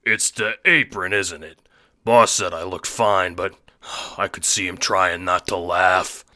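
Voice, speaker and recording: A man playing a big, gruff but friendly and bashful henchman in an audio drama. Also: low-pitched, fairly even intonation, takes it slowly, bright, forceful tone, heavily acted. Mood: sheepish.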